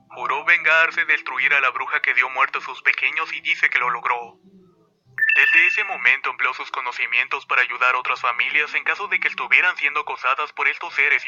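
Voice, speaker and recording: Bright-voiced male horror narrator. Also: mid-range voice, muffled recording. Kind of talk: scripted narration of a horror story.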